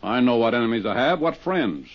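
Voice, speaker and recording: An actor playing a rude, bad-tempered older businessman with a husky voice, barking his lines in a muffled 1950s radio drama. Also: medium pitch, animated, brisk, lightly acted. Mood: belligerent. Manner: gruff, barking and contemptuous.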